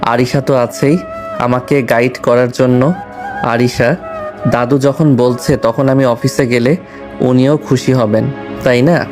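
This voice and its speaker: A man acting as a courteous young husband in an audio drama. He speaks calmly in a flat, even pitch with measured, deferential phrasing, over clearly audible music. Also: natural voice. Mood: polite, doting. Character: polite, calm young orphan posing as doting husband.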